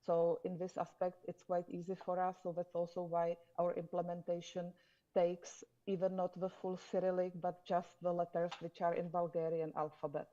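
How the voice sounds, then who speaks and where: A woman whose first language is Czech, answering on a conference panel in a halting way with many fillers and restarts. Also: fairly low pitch, completely flat delivery, dark tone, highs cut off. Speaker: conference panelist from a domain registry.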